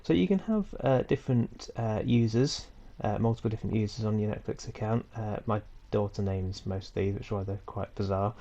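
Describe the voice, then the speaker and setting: Male tutorial host with a head cold: gravelly and low, casual and rambling, on a dull home computer mic. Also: brisk, hardly any pauses. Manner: relaxed, rambling, with frequent ums and small self-corrections. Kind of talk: casual screen-recorded tutorial. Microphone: computer mic for a screen recording.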